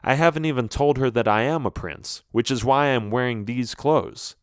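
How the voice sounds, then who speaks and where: A man's voice: medium pitch, moderate pace, clear, resonant tone, dry recording, studio quality, close to an everyday voice. A man voicing an earnest, lovestruck young prince in a storybook, sincere and hesitant. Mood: sincere, resolute.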